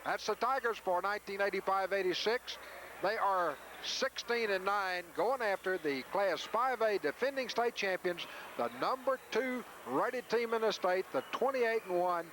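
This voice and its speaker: A man's voice: high-pitched, normal speed, fairly bright tone. A man doing live radio basketball play-by-play in short, clipped phrases, on an old 1980s recording made in a school gym. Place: school gymnasium during a game. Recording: noisy 1980s radio audio from VHS.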